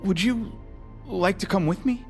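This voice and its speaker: An actor playing a troubled, earnest archivist in an audio drama, halting and unsure of himself. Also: male voice, high voice, music in the background. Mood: tentative, resolved. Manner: halting, self-doubting.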